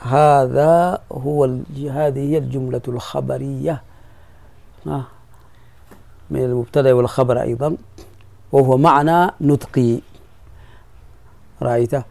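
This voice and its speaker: A man teaches grammar to his students, going over each point patiently and repeating key phrases. His voice is dark and mellow. The sound is dry and close. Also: medium pitch, fairly even intonation, unhurried, gravelly, long pauses, no room sound. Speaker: sheikh teaching Arabic grammar. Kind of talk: classroom explanation of a grammar poem.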